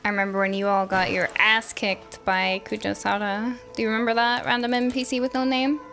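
A woman streaming a game with casual, playful, animated commentary, exclaiming and teasing as she goes. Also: medium pitch, a little fast. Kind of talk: casual live gameplay commentary. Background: game music.

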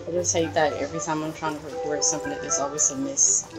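A woman's voice: low voice, level tone, fast talker. A young adult woman giving casual commentary as she plays and reacts to a game. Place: indoor room, webcam setup. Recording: loud game music behind.

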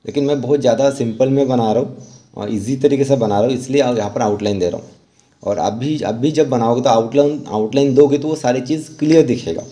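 A man narrating a step-by-step art tutorial as an instructor, often pausing mid-sentence. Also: medium pitch, dark tone.

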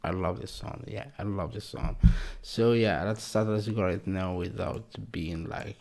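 A man, hosting a casual music reaction from a small room at home into a close desk mic, speaking halting English and repeating exclamations. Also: low voice, steady tone, creaky, a little mellow. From Morocco. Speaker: reaction video host. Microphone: desk mic close to face. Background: music from the reacted video.

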